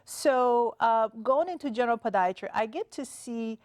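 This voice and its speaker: A woman's voice: a little high, a little mellow, no echo. Middle-aged woman, dry studio sound. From Iran. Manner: measured, many "uh" and "um" pauses. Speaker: podiatrist guest. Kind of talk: TV studio interview. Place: TV studio set.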